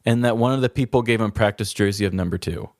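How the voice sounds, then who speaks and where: A man's voice: lowish voice, fast, heavy background noise. A man co-hosting a podcast, telling odd crime stories in casual, conversational, rambling comedic chat. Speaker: podcast co-host.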